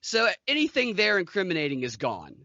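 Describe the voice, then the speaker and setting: A man's voice: high voice, wildly swooping pitch, brisk, sharp tone, no room sound, highs cut off. A man co-hosting a podcast, talking casually through the news. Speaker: podcast co-host.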